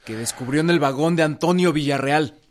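A man's voice: a little high, brisk, close to an everyday voice. An actor playing an eager military aide reporting to his general in a radio drama. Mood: urgent.

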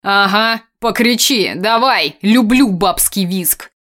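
A woman's voice: medium pitch, measured pace, lightly acted. A woman voicing a drunk, paranoid, aggressive man who barks coarse, rambling accusations in an audiobook. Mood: cruel taunting. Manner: barking, incoherent, coarse ranting.

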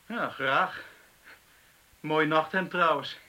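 A man's voice: fairly high pitch, takes it slowly, pushed and bright, heavily acted. An actor playing an agitated, defensive, stubborn man in a radio drama, speaking bluntly. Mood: calming down. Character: agitated, defensive male intruder, touchy and stubborn.

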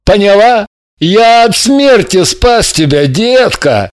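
A man's voice: high voice, sing-song, slow pace, no room sound, clean studio sound, voice pushed up, heavily acted. A man voicing a smug, cocky young guy. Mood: condescending.

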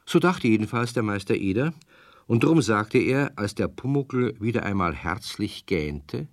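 A man's voice: on the low side, animated, a little slow, no echo, clean studio sound. A man playing an avuncular narrator of a children's radio tale, addressing young listeners directly in a storytelling style. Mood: friendly, storytelling.

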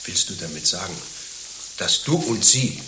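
A man's voice: low-pitched, expressive, measured pace. A man playing a lovesick, naive young man in a satirical radio play, pleading desperately and melodramatically. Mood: anguished insistence. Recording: roomy, with faint hiss.

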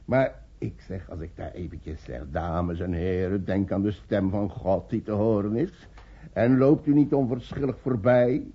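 An actor playing a carefree, boastful beggar in a radio drama, with a husky voice and folksy manner. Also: male voice, fairly low pitch, a little slow. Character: gleeful, boastful male beggar, brazen and carefree. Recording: dull old radio recording.

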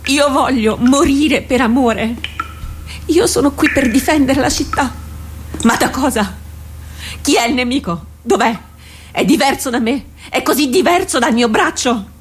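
An actress playing an anguished, loyal army captain in a radio drama, speaking haltingly and with theatrical fervour. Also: female voice, high-pitched, husky, heavily acted. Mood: fervent, anguished. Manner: impassioned, halting, repeats phrases for emphasis. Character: anguished female army captain, loyal soldier wrestling with herself.

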